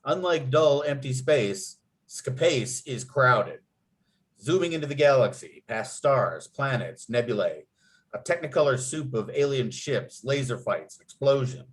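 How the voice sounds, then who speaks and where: A man's voice: medium pitch, animated, unhurried. A man acting as narrator at a table read, reading out script and stage directions.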